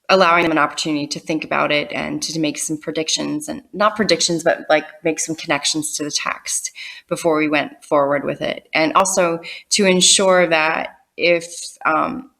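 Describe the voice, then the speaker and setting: A woman with a low voice speaks as a reading tutor and storyteller. Her delivery is expressive and conversational, directed at her listeners. The recording is very echoey and sounds some way from the microphone. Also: creaky. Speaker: reading tutor and read-aloud presenter. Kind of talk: read-aloud storytelling and instructional explanation. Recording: roomy echo, distant microphone.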